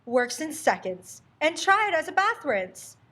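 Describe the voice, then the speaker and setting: A woman playing a reassuring friend in an old radio soap advertisement, reciting an earnest sales pitch. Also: big pitch swings, a little slow, sharp tone, heavily acted.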